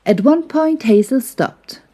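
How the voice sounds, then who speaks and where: Slow, mellow woman telling a bedtime story. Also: high voice, animated. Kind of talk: gentle scripted bedtime story narration for children.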